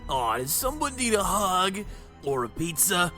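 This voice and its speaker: Michelangelo, a goofy turtle. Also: male voice, high voice, sing-song, a little slow, pushed and bright, soft background music, exaggerated voice. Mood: playful. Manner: playful and teasing. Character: goofy, excitable young male ninja turtle.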